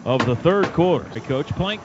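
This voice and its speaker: An American man does play-by-play of a football game on the radio. His voice sits somewhat high and can rise to a shout when a play breaks. The sound is dull and lo-fi, with clear noise from the stadium behind him. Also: expressive, normal speed. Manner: shouts during big plays.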